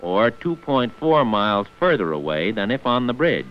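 A man's voice: on the low side, very animated, normal speed. A man narrating a scripted 1940s instructional film in a formal, precise manner, on an old muffled film soundtrack. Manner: formal, precise enunciation of figures.

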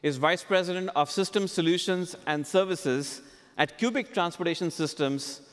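A man's voice: sits high, normal speed. A man in his forties hosting a customer interview on a conference stage, speaking into a headset microphone. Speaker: keynote host interviewing a customer. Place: large conference stage, indoors. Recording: large hall reverb on stage.

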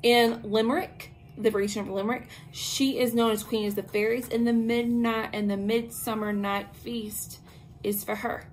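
A woman's voice: fairly high pitch, level tone, normal speed, faint music behind, poor audio. A young woman hosting a casual witchcraft talk, speaking informally to camera with frequent ums and slangy asides. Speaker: host of a witchcraft talk channel. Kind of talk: casual spoken lesson to camera. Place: small bedroom with tapestries. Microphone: camera at arm's length.

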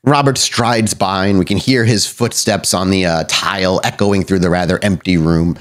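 A man's voice: sits low, steady tone, normal speed. A man narrating a tabletop horror game as storyteller, describing scenes in detail.

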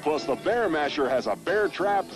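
An American man announces a vintage toy commercial in a fairly high, very animated voice. Music runs clearly behind his scripted narration. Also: normal speed.

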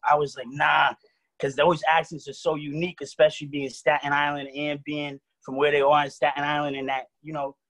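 A man's voice: on the high side, a little fast. A man who raps, with a New York accent, talking loosely in slang on a video call. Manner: relaxed slangy talk, "you know what I mean". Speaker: rapper guest. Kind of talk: casual video call chat.

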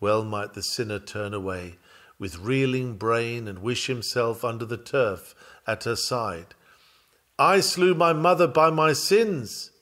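A man reading an old-fashioned sermon dramatically, with solemn, fervent and measured oratory. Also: medium pitch, a bit bright. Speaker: sermon reader.

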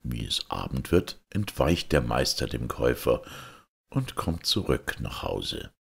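A male audiobook reader tells a fairy tale in an extremely deep, husky voice. His scripted narration is expressive, with lively rises and falls. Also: unhurried.

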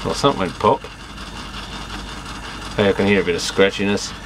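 A man with a fairly low voice talks himself through an electronics repair. His delivery is casual and unscripted, with fragmented thoughts that often trail off. Clear background noise runs behind him. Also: fairly even intonation, brisk. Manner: muttering, thinking aloud in fragments, trailing off. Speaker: electronics repairer and video host. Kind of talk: casual unscripted workbench commentary while tinkering.

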